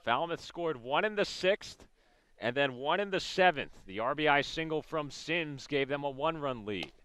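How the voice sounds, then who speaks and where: A man's voice: on the high side, expressive, moderate pace, fairly bright tone, clear, resonant tone, no room sound. A man calling baseball play-by-play for radio in clipped, descriptive phrases as the game unfolds. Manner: clipped descriptive calls of the action. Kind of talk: live radio sports commentary.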